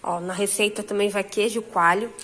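A woman's voice: mid-range voice, steady tone, normal speed, fairly bright tone, slight background noise. A woman in her thirties from Brazil, chatting casually with viewers while filming in her home kitchen on a phone. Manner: chatty, addresses viewers directly. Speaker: vlogger. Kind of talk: casual cooking vlog narration.

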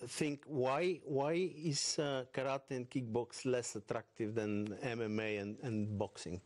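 A man with a mid-pitched voice works as a television interviewer. He puts short, direct questions in English. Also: fairly even intonation, unhurried, a little mellow.

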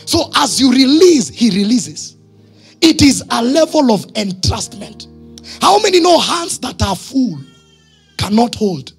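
A man in his thirties with a Kenyan accent, a pastor preaching emphatically in short phrases and questions to his congregation, husky, on a handheld microphone in church. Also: very high voice, very animated, a little slow, faint music behind. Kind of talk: sermon. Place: church stage, indoors.

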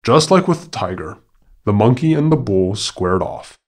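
A man narrating a scripted animal fable as a podcast storyteller, in a formal, measured and dramatic manner. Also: on the low side, lively intonation.

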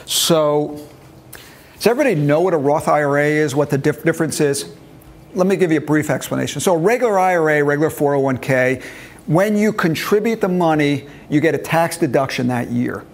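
A man's voice: a little high, fairly quick. A man in his early sixties giving an informal classroom talk on retirement money, explaining step by step and checking that his listeners follow, on a lapel mic in a meeting room. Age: early 60s. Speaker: financial planning instructor. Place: meeting room with a seated group.

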